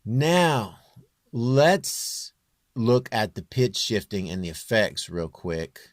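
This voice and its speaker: A man with a low, near-bass voice talking through a home music production tutorial in a halting way, thinking aloud as he works. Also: very animated, no echo, clean studio sound. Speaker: home musician demonstrating his vocal production. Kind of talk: informal screen tutorial.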